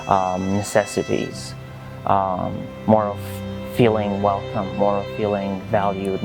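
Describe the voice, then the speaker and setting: A young man from Kosovo with an Albanian accent, telling his personal church testimony in an earnest, halting way. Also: lowish voice, vocal fry. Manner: earnest, halting, many fillers and restarts. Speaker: church leader giving testimony. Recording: music playing behind.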